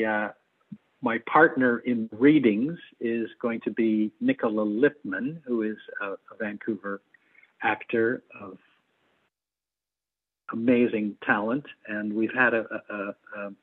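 A man, likely middle-aged, speaking as an interview guest in a measured, hesitant way, picking his words. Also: on the low side, narrow, tinny audio. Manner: hesitant, measured, repeats words while choosing them. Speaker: theatre adapter and actor, guest. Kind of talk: interview conversation.

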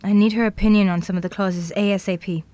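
An actress in an audio drama playing a busy, businesslike professional woman who gives brisk orders. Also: medium pitch, steady tone, warm tone, no echo, close to an everyday voice. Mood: preoccupied.

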